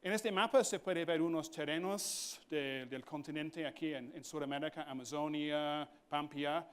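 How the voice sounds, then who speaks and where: Male conference lecturer, English native speaking halting Spanish, slightly high voice. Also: fairly bright tone, clean tone. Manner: halting, slips into English fillers like "so" and "point". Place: conference hall.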